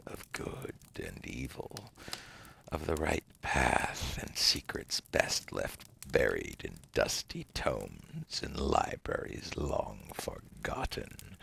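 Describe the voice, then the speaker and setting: A man playing a seductive, cunning devil in an ASMR roleplay, whispering breathily and gravelly in a theatrical, teasing way. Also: rumbling low, a bit bright, slight background noise, full character voice. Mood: sly, cautioning. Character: seductive, cunning otherworldly male devil offering tempting deals.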